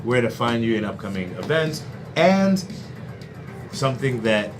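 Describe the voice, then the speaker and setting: A man's voice: medium pitch, measured pace, noisy background. A man hosting a live stream in casual chat, playful and hyping things up. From United States. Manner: playful, animated, hypes things up. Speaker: stream host.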